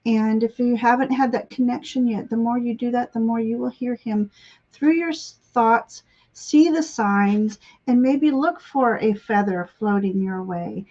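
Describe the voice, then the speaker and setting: High-voiced woman with congested sinuses, dull audio. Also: darkish tone. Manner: throat-clearing, halting. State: congested sinuses, voice slightly giving out. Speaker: guest psychic tarot reader. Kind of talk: intuitive reading on a live stream.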